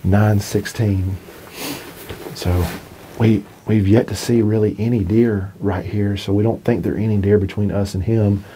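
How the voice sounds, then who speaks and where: Easygoing young male hunting host, deep mellow voice, flat steady delivery, faint hiss behind. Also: unhurried. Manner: easygoing, plainspoken, talks his plan through. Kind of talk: casual on-camera hunting talk. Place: inside a small hunting blind.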